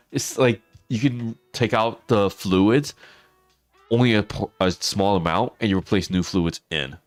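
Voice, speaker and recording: A hoarse man, chatting casually with viewers on a livestream and laughing often. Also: fairly low pitch, takes it slowly, dry recording, faint music behind. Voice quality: raspy. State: hoarse, losing his voice. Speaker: streamer.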